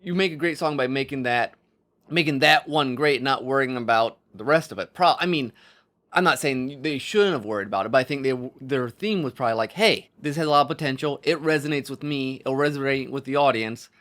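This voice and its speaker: A man co-hosting a podcast, casually discussing films and storytelling as he thinks out loud. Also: mid-range voice, brisk. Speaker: podcast co-host.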